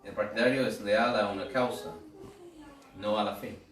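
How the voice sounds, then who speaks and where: A man's voice: on the low side, fairly even intonation, moderate pace, roomy sound, far from the mic, a little hiss or hum, rough recording. A man from the United States with an American accent, preaching a sermon in a joking, conversational way with rhetorical questions and asides. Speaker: pastor.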